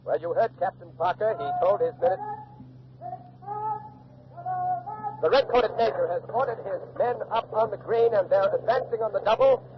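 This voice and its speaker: An actor plays an earnest radio reporter, a man with a fairly high voice giving descriptive, articulate eyewitness commentary. It is 1940s radio docudrama, with dulled old sound and light background noise. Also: close to an everyday voice. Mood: urgent, tense. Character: earnest male radio field reporter at a colonial standoff. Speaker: on-the-scene news reporter. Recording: dull old radio recording with hiss.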